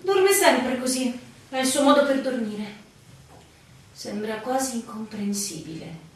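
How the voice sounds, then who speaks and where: An actress playing a proud, knowing barmaid at a village inn in a stage-style radio drama. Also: female voice, fairly high pitch, animated, unhurried. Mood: knowing, conspiratorial. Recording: echoey room, distant mic, faint noise, clicks.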